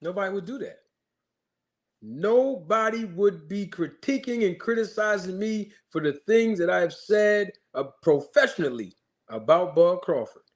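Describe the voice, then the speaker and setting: A man's voice: sits high, lively intonation, measured pace. A male channel host giving an emphatic commentary rant, mocking critics with repeated rhetorical questions.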